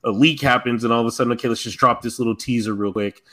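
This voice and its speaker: A man with a middle-pitched voice co-hosts a casual podcast. He tells stories in an animated way, full of slang and occasional swearing. It sounds like loose fan chat among friends. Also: rapid-fire. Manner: animated storytelling, slangy, profane. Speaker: podcast co-host.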